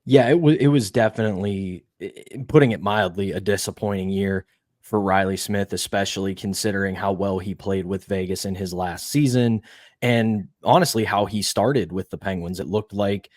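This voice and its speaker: Low-voiced podcast host, dry sound. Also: male voice, a little mellow, no room sound. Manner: measured, stats-driven, asks co-host questions. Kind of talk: casual sports podcast talk.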